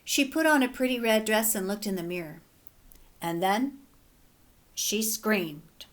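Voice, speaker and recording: A woman teacher reading a children's picture book aloud, relaxed and gently instructive. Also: mid-range voice, unhurried.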